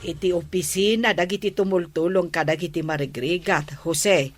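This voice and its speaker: A woman's voice: lowish voice, fairly even intonation, moderate pace, lightly acted. A woman with an Ilocano accent playing a patient, earnest village captain's wife in a radio comedy drama. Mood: earnest, polite.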